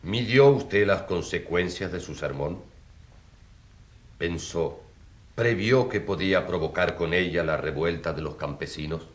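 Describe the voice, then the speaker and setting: An actor playing a stern presiding judge in a radio drama, with a formal, measured, grave delivery. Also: male voice, sits low, reverberant.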